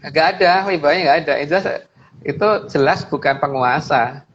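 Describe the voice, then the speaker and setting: A man's voice: on the high side, normal speed, fairly bright tone. A man with an Indonesian accent explaining slides informally, emphatically repeating his phrases. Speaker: guest speaker explaining slides. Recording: dull lo-fi audio with background noise.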